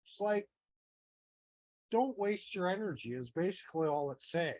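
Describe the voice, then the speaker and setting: A man's voice: high voice, expressive, moderate pace, a little mellow. A man with a Canadian accent giving a casual, meandering talk, thinking aloud with many fillers and restarts. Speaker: astrology teacher.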